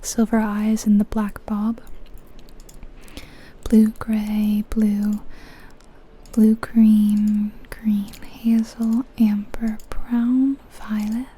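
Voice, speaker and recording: Softly whispering woman, very mellow. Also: medium pitch, level tone, low-quality recording. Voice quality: soft, breathy whisper. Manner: hushed, unhurried, musing aloud. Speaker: ASMR video host. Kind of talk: whispered ASMR gameplay commentary.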